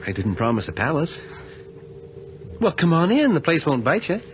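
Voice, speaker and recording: An actor playing a secretive backwoods man in a radio horror drama, terse, evasive and quietly insistent. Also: a little high, sing-song, moderate pace. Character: eerily calm, secretive backwoods country man. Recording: noisy archived radio drama.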